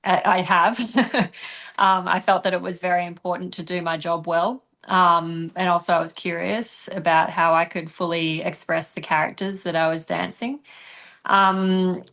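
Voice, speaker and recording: Chatty woman with a lowish voice. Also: steady tone, measured pace, fairly bright tone. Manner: chatty, laughs often, frequent ums and restarts. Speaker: interviewed actress and dancer. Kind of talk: relaxed conversational interview answers.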